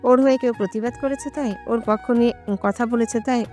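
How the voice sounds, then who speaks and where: A woman's voice: fairly high pitch, steady tone, brisk, darkish tone, faint music behind. A woman storyteller reading a romantic story as scripted, dramatic narration.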